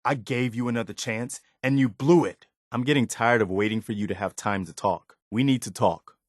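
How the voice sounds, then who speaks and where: An actor playing an earnest, idealistic militia soldier in a post-apocalyptic video game, speaking in a sincere, plain-spoken, measured way. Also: male voice, medium pitch, no room sound, studio quality, close to an everyday voice. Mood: stern, disappointed. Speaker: video game companion character. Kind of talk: video game voice acting, naturalistic dialogue.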